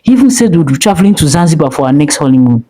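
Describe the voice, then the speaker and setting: A woman voicing a gentle, loyal young wife who gushes about her husband. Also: deep voice, expressive, racing. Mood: gushing. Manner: gushing, playful.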